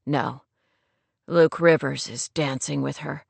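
A woman voicing a lovesick man in a romance audiobook, anguished and tender, in a raw, hushed, halting murmur. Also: deep voice, fairly even intonation, vocal fry, husky, dry recording, voice pushed down, heavily acted. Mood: guarded, inviting. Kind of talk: romance audiobook character dialogue.

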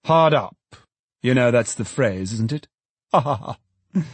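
A man's voice: medium pitch, lively intonation, slow pace, husky, no room sound. A man voicing a hearty, condescending middle-aged alderman in a storybook reading, jovial and patronizing.

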